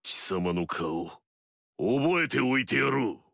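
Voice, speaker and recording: A gravelly, arrogant warlord. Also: male voice, mid-range voice, big pitch swings, slow, fairly bright tone, no room sound, studio quality, exaggerated voice. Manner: swaggering, barking battle cries. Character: arrogant mighty male warlord, brash and fierce. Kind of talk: video game voice lines.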